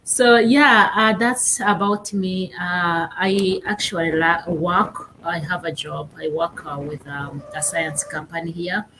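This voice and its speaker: A young woman with a Rwandan accent, a guest on a casual interview, pausing often and searching for her words. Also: on the low side, fairly even intonation, noisy background. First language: Kinyarwanda. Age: young adult. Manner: halting, searching for words with many fillers.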